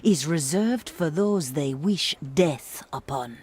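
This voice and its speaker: A woman's voice: sits low, animated, darkish tone, slightly rough, heavily acted. An actress playing a solemn, mysterious wise woman giving cryptic counsel in a video game. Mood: grave, knowing. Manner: grave, deliberate, cryptic. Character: mysterious, solemn female harbor mistress and wise oracle. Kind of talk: dramatic video game dialogue.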